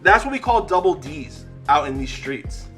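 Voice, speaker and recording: Young guy w a stutter opening card packs, says um and uh a lot, voice a bit high, music playing clearly behind him. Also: big pitch swings, normal speed. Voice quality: has a stutter, uses um and uh as fillers. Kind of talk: casual chat while opening card packs.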